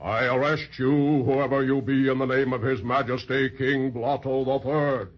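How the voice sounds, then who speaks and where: An actor playing an officious paper soldier who barks stiff, grandiose commands in 1930s children's radio serial style. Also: male voice, medium pitch, steady tone, moderate pace, natural voice. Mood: commanding. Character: pompous, officious male paper soldier with spear. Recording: muffled, noisy, crackling 1930s radio recording.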